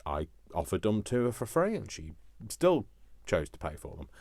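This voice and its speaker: Deep-voiced man rambling through a craft tutorial. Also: huge pitch swings, normal speed, creaky, a little mellow, dry recording. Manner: rambling, self-deprecating, jokey asides. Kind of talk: casual, chatty craft demonstration. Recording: noticeable background noise, small clicks.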